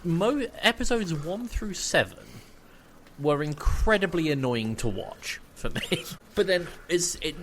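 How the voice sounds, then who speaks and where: A man's voice: a little high, expressive, unhurried, a bit bright, slight background noise. A man giving his opinions casually as a podcast co-host.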